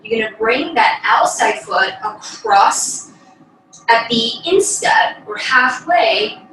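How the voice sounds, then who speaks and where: Woman, young adult, ballet instructor, lively intonation, step-by-step guidance, very echoey small room. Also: fairly high pitch, takes it slowly. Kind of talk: instructional class talk with demonstration. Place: small bedroom, indoors. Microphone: far camera across the room. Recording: echoey room, distant mic, faint noise.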